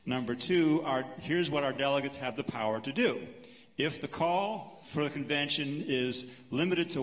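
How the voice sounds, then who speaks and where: A man moderating a lecture Q&A, paraphrasing a question and answering it in a measured, explanatory way, counting off his points. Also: mid-range voice. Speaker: panel moderator. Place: lecture hall. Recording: long hall reverb.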